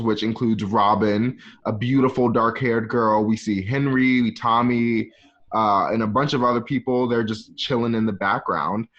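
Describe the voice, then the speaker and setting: A male narrator reads stage directions conversationally for a radio play. His pitch is middling. The audio is dull and lo-fi, like a home connection.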